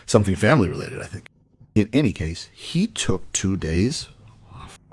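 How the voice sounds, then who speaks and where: An actor playing a smug, suave and evasive violin dealer and smuggler in a radio play. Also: male voice, low voice, very animated, moderate pace, a little mellow, a little hiss or hum. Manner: suave, self-assured explaining.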